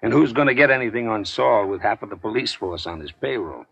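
An actor playing a chatty, knowing, informal gangster sidekick in a 1940s radio drama. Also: male voice, lowish voice, lively intonation, brisk, vocal fry, fairly bright tone, slightly rough, dry recording, exaggerated voice. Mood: cynical, knowing. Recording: vintage 1940s radio broadcast.

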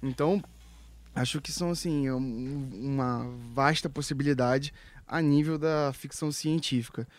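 A man's voice: medium pitch, fairly even intonation, normal speed. A man on a casual technology podcast, thinking aloud and speculating about future gadgets. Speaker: podcast co-host. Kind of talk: casual tech podcast chat.

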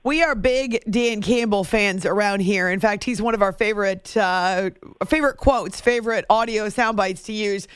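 A woman's voice: medium pitch, normal speed, few pauses, clear, resonant tone, no echo, studio quality. A woman hosting a sports radio show and interviewing a guest.

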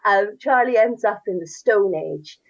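A woman's voice: medium pitch, lively intonation, unhurried, dull audio. A woman with a Northern Irish accent, an author answering interview questions with enthusiasm and a storytelling lilt. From Northern Ireland. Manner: enthusiastic, warm storytelling with laughs. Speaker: children's author.

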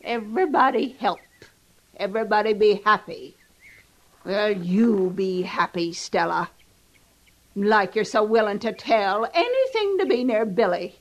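An actress playing a bitter, hard-bitten older frontier laundress with a rural American accent, scolding and sarcastic in an old-time radio drama. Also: female voice, mid-range voice, animated, measured pace, highs cut off. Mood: bitterly sarcastic.

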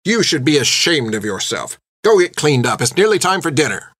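A man voicing a strict elderly nun who runs an orphanage, speaking in a sharp, commanding, scolding way. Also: a little high, sing-song, normal speed, no room sound, studio quality.

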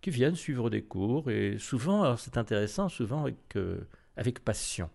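Gravelly older man, French accent. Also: mid-range voice, big pitch swings, moderate pace, darkish tone. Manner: hesitant, many fillers and restarts. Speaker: university lecturer. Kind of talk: relaxed interview talk.

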